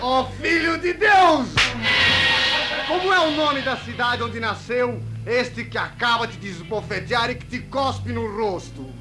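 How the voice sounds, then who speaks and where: High-pitched, jeering Roman soldier. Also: male voice, unhurried, music in the background, crowd noise. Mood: taunting. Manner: mock-ceremonial, declamatory. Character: cruel jeering Roman soldier, gleeful mocker. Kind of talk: old-fashioned radio drama acting.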